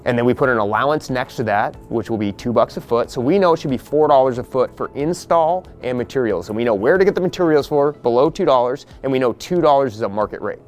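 A man's voice: mid-range voice, lively intonation, soft background music. A man, walking a group through a house he is flipping outdoors on a porch, talking bluntly and practically. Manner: blunt, practical, quoting others in quick asides. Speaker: real estate investor leading a property walkthrough. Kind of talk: casual explaining to a group on site.

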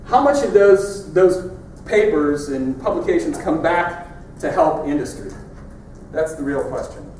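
A man's voice: fairly high pitch, lively intonation, unhurried, darkish tone, a little hiss or hum. A middle-aged man giving a conference keynote in a large lecture hall, in a conversational, wry way as he points at his slides. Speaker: keynote speaker. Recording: echoey hall from far off.

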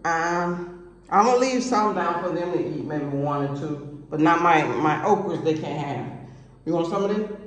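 A woman's voice: fairly low pitch, lively intonation, moderate pace. Chatty woman vlogging from an echoey kitchen. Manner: chatty, rambling, talks straight to viewers. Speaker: cooking vlogger. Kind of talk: casual cooking vlog chat. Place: home kitchen table, indoors. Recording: echoey room with faint hiss.

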